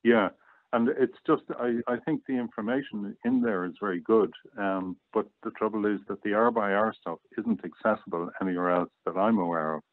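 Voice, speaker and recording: Low voiced guy explaining stuff casually, muffled lo-fi connection, no echo. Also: fairly quick. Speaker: guest app reviewer. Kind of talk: casual chat.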